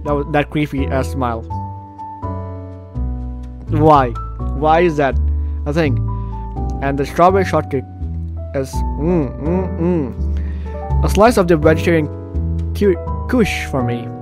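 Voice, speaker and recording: A man hosts a gaming video, reading the story aloud and dropping jokey asides. His voice is a little high, he trips over words now and then, and faint music plays behind him. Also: takes it slowly, pauses often. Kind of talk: let's play commentary, reading game narration aloud.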